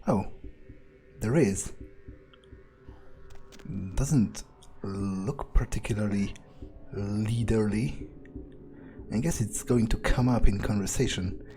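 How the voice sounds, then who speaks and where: Slow, mellow low-voiced man musing. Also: faint music behind. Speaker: game player. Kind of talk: in-character roleplay commentary, thinking aloud.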